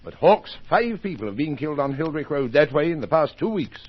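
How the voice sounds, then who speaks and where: An actor playing a brisk, authoritative police inspector in an old-time radio mystery, speaking in a clipped, commanding way with a husky voice. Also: male voice, fairly high pitch, big pitch swings. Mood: intent, persuasive. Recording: dull old radio recording.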